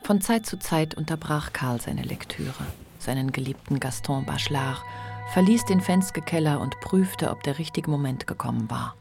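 A man narrating a radio play in a measured, literary, scripted style. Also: on the high side, creaky, darkish tone, soft background music.

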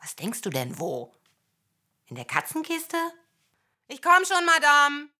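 An actress in a radio play playing a cheeky, self-mocking housemaid with a sardonic manner. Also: female voice, high voice, huge pitch swings, measured pace, bright, forceful tone, exaggerated voice. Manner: talking to herself, sardonic. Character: self-mocking young housemaid, cheeky behind her mistress's back. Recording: music bed behind the voice.

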